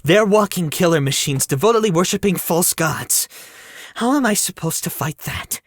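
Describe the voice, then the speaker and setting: Teen boy hero, audio drama female actor: reluctant, nervy, very expressive low-ish youthful voice, close dry recording. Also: normal speed, no echo. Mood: frightened. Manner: hesitant, exasperated.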